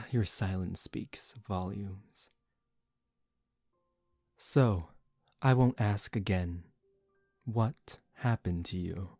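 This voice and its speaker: A man voicing a suave, condescending young male vampire in a soft-spoken ASMR roleplay, calm and teasing. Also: lowish voice, very slow, long pauses, breathy edge, no echo, studio quality, exaggerated voice. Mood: patient, probing. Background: relaxing background music.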